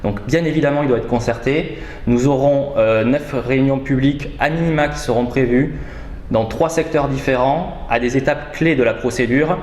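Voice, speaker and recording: A man speaking as a local official, giving measured explanations in formal wording, with frequent hesitations. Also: medium pitch, a little mellow. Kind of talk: explanatory interview answers. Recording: roomy echo, faint background noise.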